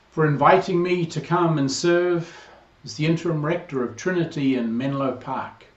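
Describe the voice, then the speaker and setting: Older New Zealand priest, earnest and roomy. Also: male voice, fairly high pitch. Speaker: priest, incoming interim rector. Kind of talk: recorded greeting to a parish. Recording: roomy, slightly dull recording.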